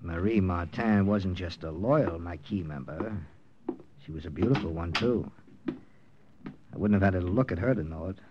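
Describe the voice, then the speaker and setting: Low-voiced hard-boiled American captain. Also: male voice, a little slow, pauses often, highs cut off, background noise. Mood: tense narrating. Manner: terse, commanding, clipped. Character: hard-boiled American OSS captain narrating his wartime mission. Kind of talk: 1940s-50s radio drama narration and acting. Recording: vintage radio drama with music.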